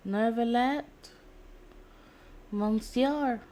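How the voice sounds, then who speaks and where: A woman's voice: on the high side, extremely slow, dark tone. A woman streamer chatting casually while gaming, in a rambling, giggly way.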